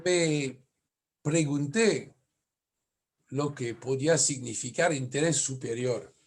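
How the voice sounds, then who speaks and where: Slow-speaking man with a French accent. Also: mid-range voice, slightly rough. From France. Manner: careful, halting non-native speech with self-corrections. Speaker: family lawyer giving a lecture. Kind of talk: conference lecture.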